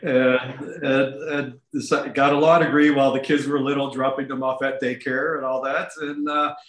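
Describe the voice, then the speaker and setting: Older man speaking haltingly in an echoey room. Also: medium pitch, level tone, a little hiss or hum. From United States. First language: Ukrainian. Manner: halting, with many uh's and chuckles. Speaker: podcast guest, doctor and lawyer. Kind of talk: casual interview conversation.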